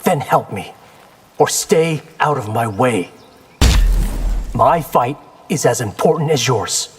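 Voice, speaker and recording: An actor voicing a confident young warrior in a fighting video game, cocky, wry and determined. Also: male voice, medium pitch, expressive, slow, big-room sound, noisy background. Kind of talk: video game character acting.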